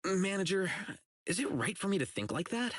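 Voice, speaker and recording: Earnest young cop for a video game, blunt and clueless, pitch all over the place, kinda husky, super dry studio sound. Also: male voice, very animated, normal speed, fairly bright tone, no room sound, full character voice. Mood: regretful, thoughtful. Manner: blunt, earnest, literal-minded. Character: earnest, blunt young male police officer, socially oblivious. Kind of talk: acted video game voice lines. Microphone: close studio mic, isolated dry voice track.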